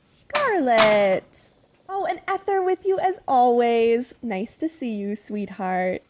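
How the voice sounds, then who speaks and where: An actress playing a middle-aged mother in an audio drama, her voice fairly high with big swings in pitch. She is prim and doting, politely sweet with a pointed, probing edge. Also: lively intonation, takes it slowly, a little hiss or hum. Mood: sweetly probing.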